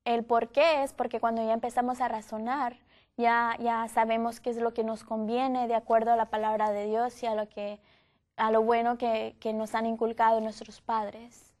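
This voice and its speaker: A young woman from Guatemala speaking as a panelist, explaining her view calmly and reasonably. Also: a little high, moderate pace. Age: young adult. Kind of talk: panel discussion.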